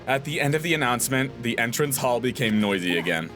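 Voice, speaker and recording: A young man doing jokey, animated let's-play commentary as a voice actor reading a visual novel. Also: mid-range voice, quick pace, faint music behind. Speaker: voice actor playing a game.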